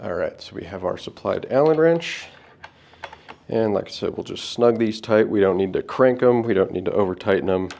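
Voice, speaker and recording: A man's voice: lowish voice, moderate pace, creaky, a little mellow, slight background noise. A man hosting an unboxing, giving casual, plain-spoken step-by-step setup instructions. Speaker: product unboxing host.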